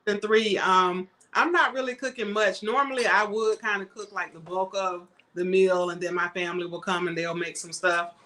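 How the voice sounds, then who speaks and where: A woman vlog host with a bright, forward voice chats casually. Her pitch is middling for a woman, and she talks in a plain conversational way. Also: fairly even intonation, normal speed, few pauses. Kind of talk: casual chat.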